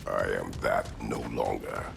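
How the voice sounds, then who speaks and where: An actor playing a grim, weary middle-aged Spartan warrior god in a game, speaking tersely and solemnly with a gravelly voice. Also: male voice, fairly even intonation, measured pace, heavily acted. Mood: curt, stern. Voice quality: very deep, gravelly. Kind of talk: dramatic video game acting. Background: music.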